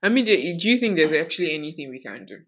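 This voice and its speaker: A woman's voice: sits low, big pitch swings, fast talker, dull audio. A woman with a Nigerian accent hosting a podcast, talking casually and outspokenly with rhetorical questions. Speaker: podcast host. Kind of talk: casual conversational podcast talk.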